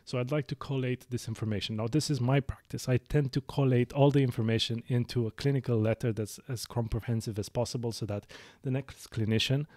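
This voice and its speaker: A man in his thirties with a Romanian accent, hosting a medical podcast and explaining his clinical practice in a measured way, with frequent fillers and self-corrections. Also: medium pitch, steady tone, darkish tone, no room sound, clean studio sound. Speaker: lung specialist physician, podcast host. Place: small dark studio. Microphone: studio mic on boom arm.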